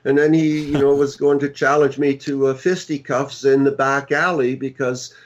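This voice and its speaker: A man's voice: medium pitch, flat delivery, normal speed, muffled recording. A middle-aged man with a powerful voice, speaking as a guest author in a casual panel discussion.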